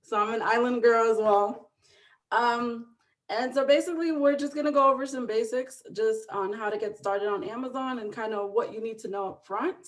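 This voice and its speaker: A woman's voice: fairly high pitch, moderate pace. A woman from the Dominican Republic, an online seller, giving an upbeat explanatory webinar presentation, often adding "right?". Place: video call.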